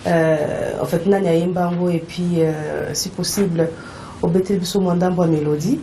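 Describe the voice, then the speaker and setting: Une femme qui anime une interview télévisée et rit légèrement en citant des paroles de chansons. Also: female voice, lowish voice, fairly even intonation, normal speed, creaky, warm tone, slight background noise. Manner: laughs lightly, reads out song lyrics. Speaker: TV host. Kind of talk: TV interview hosting.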